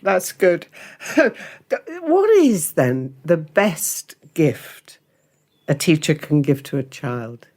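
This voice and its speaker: A woman hosts an interview, her voice sitting a little low. She takes her time, asking questions at an unhurried pace. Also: very animated, darkish tone. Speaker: host. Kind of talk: radio-style interview.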